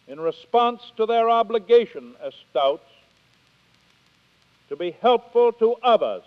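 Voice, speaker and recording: An American man giving a formal, deliberate 1930s radio address as a Scouting executive on an old archive recording. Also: sits high, clean tone, big-room sound, lo-fi sound.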